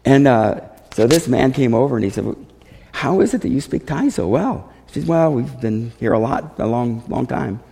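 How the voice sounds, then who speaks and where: A man speaking as a missionary, giving a storytelling testimony to a congregation in an animated way, acting out dialogue. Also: mid-range voice, normal speed, creaky, slightly rough. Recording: reverberant hall.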